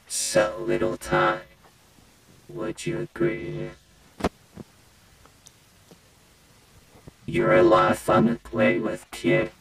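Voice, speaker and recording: A man voicing a broken animatronic rabbit villain with a gravelly voice, taunting with mock-friendly, playful menace in horror-game lines. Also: low-pitched, heavily acted, rough recording. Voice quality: gravelly, creaky. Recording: loud music under the voice.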